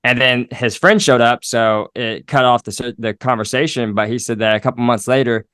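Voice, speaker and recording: A male podcast host tells a story in an easygoing, affirming manner at a middling pitch. His voice is recorded close and dry, with no room sound around it. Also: moderate pace, smooth, dry recording. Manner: relaxed, affirming, tells anecdotes. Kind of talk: conversational storytelling.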